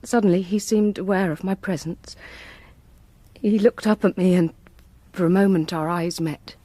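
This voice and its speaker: Casting: actress for gracious but troubled wife in audio drama, low, restrained and refined, recorded very dry. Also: female voice, steady tone, moderate pace, dark tone, no echo, natural voice. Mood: haunted. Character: troubled, gracious married lady haunted by an enemy. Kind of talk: radio drama acting.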